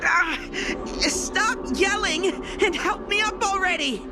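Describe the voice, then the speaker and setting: A woman's voice: extremely high pitch, slow pace, background noise, exaggerated voice. A woman voicing a cocky, brash, blustering child gang boss in a video game. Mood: blustering, defensive. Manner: brash, confrontational. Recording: music behind the voice.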